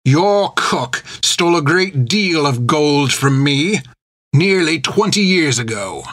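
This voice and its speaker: A man's voice: a little high, animated, slow pace, fairly bright tone, dry recording, pitched above the performer's own voice, full character voice. A man voicing a cold, scornful male pirate captain with a sneering, mocking delivery. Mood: menacing.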